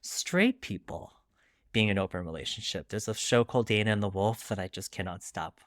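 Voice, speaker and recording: Low-pitched middle-aged man, dry close sound. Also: a little fast, a bit bright, no echo. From Long Island, New York. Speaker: guest author. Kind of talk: candid conversational interview.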